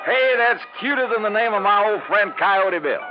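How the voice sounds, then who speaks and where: A man's voice: high-pitched, lo-fi sound, pitched above the performer's own voice. An actor voicing a brash, rich, folksy Texas oilman with a Texan accent, in 1950s radio style. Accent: Texan, put on. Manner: folksy, boastful. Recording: band-limited 1950s radio recording.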